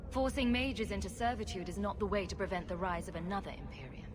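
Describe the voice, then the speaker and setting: A woman's voice: medium pitch, fast talker, background noise, close to an everyday voice. An actress playing a self-assured, witty female adventurer with a cool, direct manner in a video game. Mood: questioning. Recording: music clearly behind the voice.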